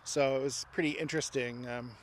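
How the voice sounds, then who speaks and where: A man's voice: mid-range voice, steady tone, a little fast, a little hiss or hum. A man talking casually and technically outdoors, as a radio hobby expert helping a friend.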